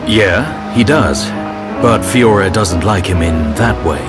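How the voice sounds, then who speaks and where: Game role: protective warrior, low-pitched, reflective, with very expressive intonation. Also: male voice, loud music behind the voice. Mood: warm, confiding. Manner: measured, reflective. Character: earnest older-brother warrior, calm and protective. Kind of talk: video game cutscene acting.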